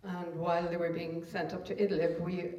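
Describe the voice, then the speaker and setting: Higher-voiced earnest man on a panel. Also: flat delivery, moderate pace, a little mellow. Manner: earnest, uses tag questions. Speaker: panelist from a campaign organization. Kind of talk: panel discussion. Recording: echoey room from far off.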